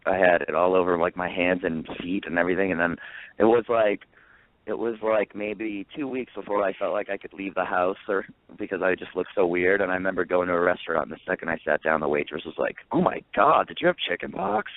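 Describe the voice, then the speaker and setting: A man's voice: deep voice, fairly quick, no echo. A man, a comedian guest, chatting in a rambling, self-mocking way with lots of fillers. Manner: rambling, self-mocking jokes with many likes. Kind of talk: casual podcast chat. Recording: dry tinny phone-like line.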